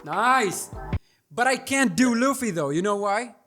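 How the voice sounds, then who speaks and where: Man, deep voice, native arabic speaker, street interviewer, animated pitch, friendly. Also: unhurried, soft background music. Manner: friendly, laughs a lot between questions. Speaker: anime voice impressionist.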